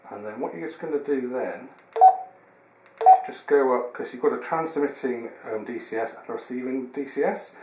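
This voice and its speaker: A man's voice: mid-range voice, normal speed, dark tone. A man giving an informal hands-on product tutorial, explaining step by step in a slightly hesitant way. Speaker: product demonstrator. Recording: roomy echo with background hiss.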